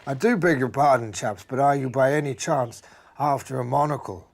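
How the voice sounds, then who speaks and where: A man's voice: mid-range voice, moderate pace, gravelly, voice pushed up, lightly acted. A man voicing a proud badger in a children's story, with genteel, old-fashioned manners and a pompous way of talking. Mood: courteous.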